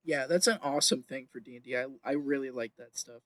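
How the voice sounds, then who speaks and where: A man co-hosting a podcast in casual, excitable, joking chat. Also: mid-range voice, fast, dry recording. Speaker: podcast co-host.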